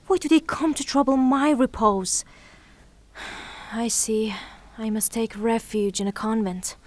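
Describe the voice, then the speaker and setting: Woman playing a high-voiced haughty widow. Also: unhurried, lightly acted. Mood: indignant, weary. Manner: haughty, formal, dramatic. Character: proud, melodramatic grieving young widow of means. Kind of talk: theatrical audio drama acting.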